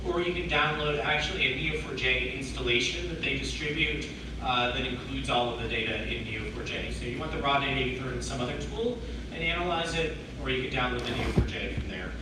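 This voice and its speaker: Male tech presenter, hoarse from a cold, explains step by step; echoey room, mic at a distance. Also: medium pitch, brisk, noisy background. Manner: explains step by step, many restarts. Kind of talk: technical talk answering questions. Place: meeting room with audience.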